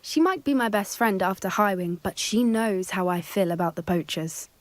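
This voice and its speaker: An actress playing an earnest young witch student who cares for creatures and talks quickly and confidingly. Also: mid-range voice, lively intonation, no echo, lightly acted. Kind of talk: video game voice acting.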